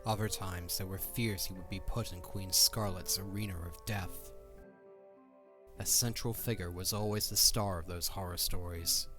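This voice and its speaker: A man's voice: deep voice, steady tone, normal speed, pushed and bright, soft background music. A man reading scripted narration for a fantasy audio drama.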